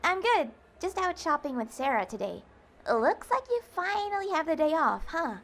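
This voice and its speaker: A woman's voice: extremely high pitch, very animated, moderate pace, a bit bright, exaggerated voice. A woman playing an awkward, sweet, easily flustered teenage girl who stammers, in an audio drama. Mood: friendly.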